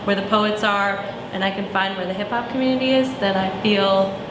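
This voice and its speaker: A young woman from Arizona answering interview questions thoughtfully, often pausing with "um" as she searches for words. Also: mid-range voice, flat delivery. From Arizona, USA. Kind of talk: reflective personal interview. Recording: echoey room with clear background noise.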